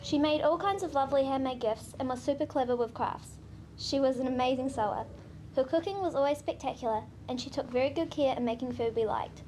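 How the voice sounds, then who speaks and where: A New Zealand woman reads her grandchild's tribute at a memorial service. Her voice is high and her delivery steady and sincere. A faint hiss sits under the sound. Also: fairly quick. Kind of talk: reading a prepared tribute.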